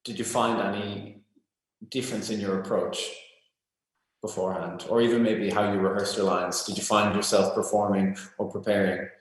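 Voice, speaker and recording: Interviewer in a very echoey room. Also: male voice, on the low side, level tone, moderate pace. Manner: earnest, reflective, searching for words. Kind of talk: festival Q&A moderating.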